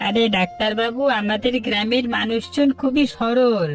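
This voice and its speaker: A woman's voice: fairly high pitch, moderate pace, recorded from a distance, faint music behind, close to an everyday voice. A woman voicing a humble, deferential, welcoming village tea-stall man in a cartoon. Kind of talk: Bengali cartoon story dialogue.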